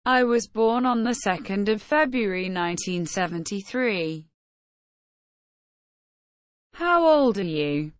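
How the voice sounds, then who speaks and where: A woman reading short English phrases for learners, slow and careful, evenly spaced. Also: mid-range voice, expressive, no echo.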